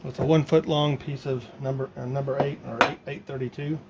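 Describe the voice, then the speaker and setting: A man talking casually and haltingly, thinking aloud as he works through a hands-on project. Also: mid-range voice, steady tone. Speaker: hobbyist maker demonstrating a DIY project. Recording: loud background noise.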